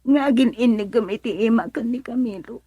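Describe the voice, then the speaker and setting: A fragile young daughter in a radio drama. Her voice sits very high and close, without room sound, as she pleads with tearful hesitation. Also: fairly quick, dark tone, breathy edge, dry recording. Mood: pleading. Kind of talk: radio drama acting in Ilocano.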